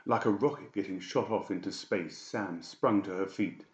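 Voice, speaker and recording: A man's voice: sits low, normal speed. A man reading scripted fiction as an audiobook narrator. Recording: dull, echoey room recording.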